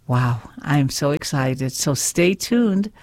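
Slow, earnest radio host woman. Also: low-pitched, expressive, a little mellow. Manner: earnest, preaching tone, reads scripture aloud.

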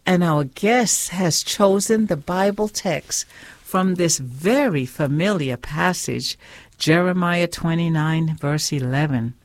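A woman hosts a faith radio show, talking slowly and earnestly as she preaches and reads scripture. Her voice sits a little low. The sound is dry and close. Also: no room sound.

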